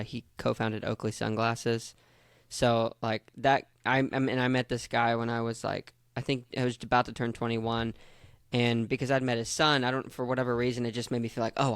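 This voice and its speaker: A young man hosts a podcast in a relaxed, encouraging way and drops plenty of short affirmations. His voice is smooth and resonant but fairly level in tone. The recording is dry and close. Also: mid-range voice, brisk, no echo. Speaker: podcast host. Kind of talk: casual interview chat.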